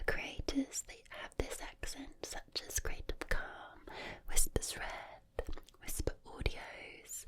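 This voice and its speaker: A woman whispering slowly and soothingly in a breathy, deliberate ASMR style. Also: on the high side. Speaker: ASMR creator.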